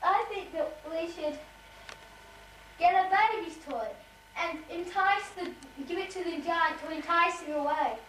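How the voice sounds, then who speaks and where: A young female performer voicing an earnest puppet hero in an amateur show, speaking haltingly on an echoey home video. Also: very high-pitched, a bit bright. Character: earnest good-guy puppet planning a rescue. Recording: echoey home video, distant, some noise.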